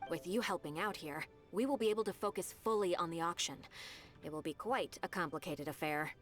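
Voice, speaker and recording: An actress playing a polite, considerate attendant in a video game, speaking in a courteous, orderly way. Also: female voice, lowish voice, brisk, lightly acted. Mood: polite, caring. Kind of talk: scripted video game voice acting. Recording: music playing behind the voice.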